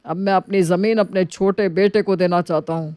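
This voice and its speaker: A woman's voice: fairly low pitch, steady tone, normal speed, dark tone, smooth, dry recording, close to an everyday voice. Woman acting an earnest, wronged elderly father. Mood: firm. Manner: earnest, pleading, emotional. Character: elderly betrayed father, remorseful, hurt and indignant. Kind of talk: dramatised moral storytelling.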